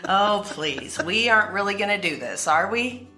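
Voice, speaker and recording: A woman's voice: medium pitch, lively intonation, moderate pace, sharp tone. A woman playing a wary, grumbling band member in a comedy radio play. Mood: reluctant. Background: faint music.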